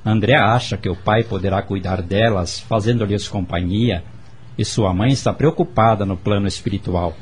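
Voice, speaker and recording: An actor playing a firm, protective husband in a radio drama, speaking deliberately and solemnly. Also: male voice, fairly low pitch, breathy edge. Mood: solemn, explaining. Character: Celso, firm, protective husband advising his wife.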